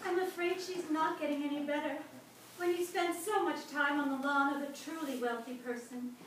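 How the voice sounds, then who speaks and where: A woman's voice: high-pitched, steady tone, moderate pace. A woman playing a smitten home-visiting nurse with a melodramatic manner in a comic radio play. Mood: grave. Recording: echoey live room, distant mic, loud noise.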